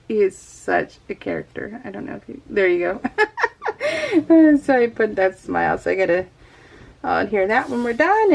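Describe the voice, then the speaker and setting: A woman chatting casually to her viewers as a craft vlogger, in an excitable, rambling, giggly way. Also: a little high, gravelly, a little hiss or hum. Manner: addresses viewers familiarly. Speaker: craft vlogger hosting a scrapbooking video.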